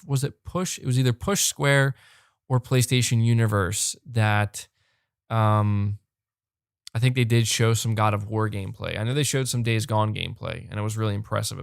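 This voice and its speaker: A young man hosting a live podcast, talking fast and animated, repeating words when fired up and losing his voice. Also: lowish voice, vocal fry, clear, resonant tone, no echo, clean studio sound. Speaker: podcast host reading super chats. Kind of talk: excited live-stream chat. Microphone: close desk mic.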